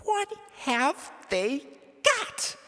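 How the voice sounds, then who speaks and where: A man voicing a prissy, indignant young girl from a storybook in a hushed, fretful whine. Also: huge pitch swings, extremely slow, in a large hall, heavily acted. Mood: hushed, nosy. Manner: high, fretful, hushed whining. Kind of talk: storybook character voice.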